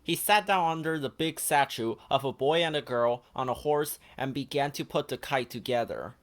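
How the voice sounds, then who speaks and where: A man narrating a children's picture book as an expressive read-aloud. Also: mid-range voice, moderate pace. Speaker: children's story reader. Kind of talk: expressive scripted storybook narration.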